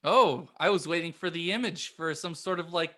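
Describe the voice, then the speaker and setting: Bright, higher-voiced man bantering. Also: moderate pace. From Arizona, United States. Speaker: podcast co-host. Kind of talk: casual joking banter.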